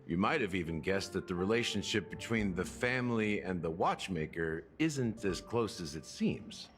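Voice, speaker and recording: An actor playing a suave, sly investigating officer in a video game, theatrical and knowing, fond of insinuating questions. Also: male voice, low voice, expressive, moderate pace, soft background music. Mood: confident, insinuating. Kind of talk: video game voice acting, expository dialogue.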